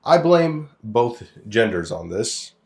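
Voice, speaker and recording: A man's voice: mid-range voice, takes it slowly, vocal fry. Blunt, crude young man from Lebanon. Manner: blunt, crude, animated storytelling with swearing. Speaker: podcast co-host. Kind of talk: casual opinionated podcast chat.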